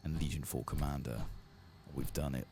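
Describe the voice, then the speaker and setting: Casting: man with extremely low, gravelly voice, soft-spoken ASMR game streamer, clear background noise. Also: steady tone, normal speed. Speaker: streamer playing a fantasy game.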